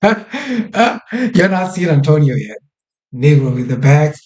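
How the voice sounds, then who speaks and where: A man's voice: a little high, very animated, slow pace, a little mellow. A man in casual podcast chat, teasing and joking with his co-host. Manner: teasing, jokey.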